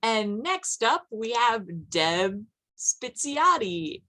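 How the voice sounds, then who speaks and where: Bright, lively woman hosting. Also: a little high, very slow. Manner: effusive. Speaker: event host. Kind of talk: upbeat event hosting. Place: online video call.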